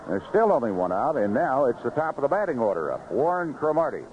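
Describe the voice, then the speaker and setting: A man's voice: mid-range voice, sing-song, fast. An American man calling live baseball play-by-play on the radio. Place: ballpark broadcast booth. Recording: noisy, muffled vintage AM radio broadcast.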